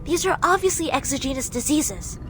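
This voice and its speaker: An actress voicing a composed woman agent who speaks in a calm, serious, businesslike way. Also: high voice, a little fast, over music.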